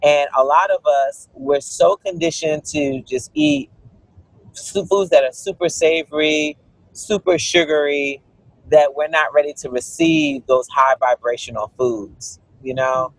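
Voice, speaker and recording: Guyana-born guy, higher voice, dry close sound, earnest and explaining, lots of "you know". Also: a little slow, no room sound, rough recording. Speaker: cookbook author and guest. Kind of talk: interview conversation.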